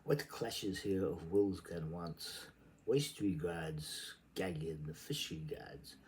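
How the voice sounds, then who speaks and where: A man giving a theatrical, halting reading of literary prose, repeating words and shifting between murmurs and sudden emphasis. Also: low voice, a little mellow, slight background noise. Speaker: reader. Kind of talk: dramatic amateur literary reading aloud.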